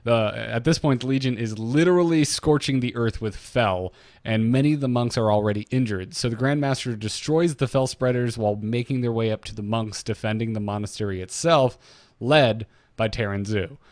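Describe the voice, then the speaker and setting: A man's voice: medium pitch, moderate pace, a little mellow, dry recording, studio quality. A man co-hosting a podcast, recapping game lore from notes in a casual, bantering way with jokey asides. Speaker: podcast co-host.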